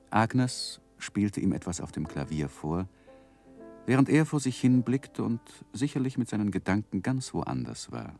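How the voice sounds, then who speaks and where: An actor playing an earnest, reflective gentleman who recounts his boyhood as narrator of a 1960s German radio drama, with careful, tender phrasing. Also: lowish voice, exaggerated voice.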